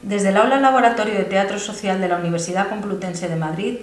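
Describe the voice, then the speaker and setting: A woman's voice: medium pitch, fairly even intonation, quick pace, echoey room. Formal woman announcing. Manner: formal, declamatory. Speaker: presenter. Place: university theatre classroom.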